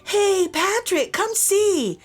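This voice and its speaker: Woman doing a high, enthusiastic storybook girl. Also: lively intonation, slow, full character voice. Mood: excited, insistent. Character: enthusiastic young girl who loves flying machines. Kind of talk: children's storybook character voice.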